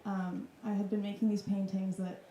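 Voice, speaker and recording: Woman from wisconsin, thirties, warm dark voice, reflective, roomy club sound. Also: medium pitch, little pitch movement, moderate pace, lo-fi sound, slight background noise. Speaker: artist guest. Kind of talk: casual reflective talk. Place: club room with audience. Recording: echoey room.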